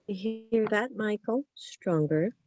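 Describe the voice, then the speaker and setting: A woman acting a gentle, obedient mother in her thirties in a script read, soft and solicitous. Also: medium pitch, expressive, slow. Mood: mild, placating. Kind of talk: actor's script table read.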